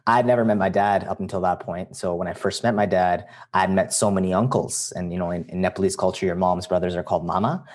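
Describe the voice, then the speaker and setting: Chatty young man, lowish voice. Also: quick pace, hardly any pauses. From Canada. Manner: relaxed, chatty storyteller, many likes and you-knows. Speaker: podcast guest. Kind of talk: casual interview chat.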